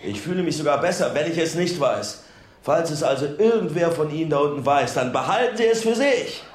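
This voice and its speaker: Actor as humble halting townsman in echoey hall. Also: fairly high pitch, lively intonation, noisy background, natural voice. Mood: mocking, amused. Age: middle-aged. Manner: halting, unpolished, earnest public speaking. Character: plain-spoken ordinary Welsh townsman, humble reluctant political candidate. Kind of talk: radio drama acting, speech to a hall. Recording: echoey public hall sound.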